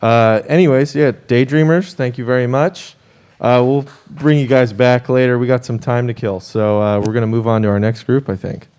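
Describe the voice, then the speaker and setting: A man's voice: mid-range voice, steady tone, moderate pace, a little mellow, clear, resonant tone. A man with a mid-range voice hosts in a loose, unscripted way. His phrasing is informal and a bit hesitant, like casual studio chat. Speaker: host. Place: radio studio.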